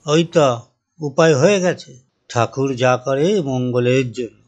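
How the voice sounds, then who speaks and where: A man's voice: medium pitch, a little slow, lightly acted. A man voicing a sanctimonious, sweet-talking older village moneylender with an unctuous, preachy way of speaking, in a cartoon. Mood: sly, preachy. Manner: unctuous, pious, chanting devotional phrases. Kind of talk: Bengali cartoon voice acting.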